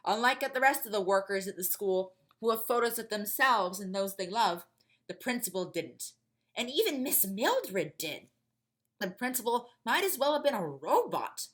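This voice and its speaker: A woman's voice: mid-range voice, sing-song, moderate pace. A woman reading scripted fiction as the narrator of a story podcast.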